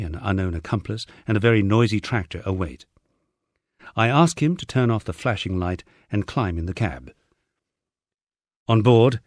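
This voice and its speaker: Expressive, low-voiced man narrating an audiobook. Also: dry recording, studio quality. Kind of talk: scripted first-person memoir narration.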